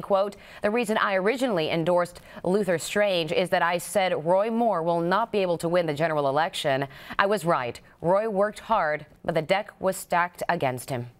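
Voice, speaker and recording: A woman with an American accent reading scripted television news as an anchor. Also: fairly low pitch.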